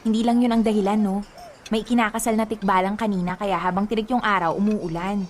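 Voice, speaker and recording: An actress playing a guileless, playful young woman in a radio drama, chirpy and expressive. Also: medium pitch, fast talker, noisy background, natural voice. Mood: playful, sweet. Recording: faint music behind.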